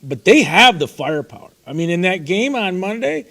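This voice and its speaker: A man co-hosts a sports talk show in a folksy, opinionated way. His voice sits a little on the high side. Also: very animated, moderate pace, pushed and bright. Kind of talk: casual sports chat.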